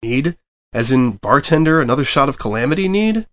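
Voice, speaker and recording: A man's voice: mid-range voice, lively intonation, a little fast, fairly bright tone, pitched above the performer's own voice, close to an everyday voice. A man voicing a flustered young male pony newspaper reporter who rambles nervously.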